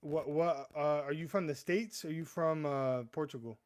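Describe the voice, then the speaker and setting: A man's voice: a little high, steady tone, moderate pace, darkish tone. Stammering young man chatting on a livestream. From US East Coast. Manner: rambling, stammering restarts, calls viewers "bro". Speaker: livestream host. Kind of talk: casual live chat.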